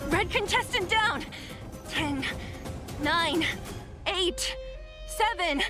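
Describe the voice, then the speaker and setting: A woman playing a spirited young referee in a video game, with an expressive, sassy delivery. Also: very high voice, very slow, exaggerated voice. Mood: commanding. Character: spirited, exasperated young female fight referee. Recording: loud game music behind the voice.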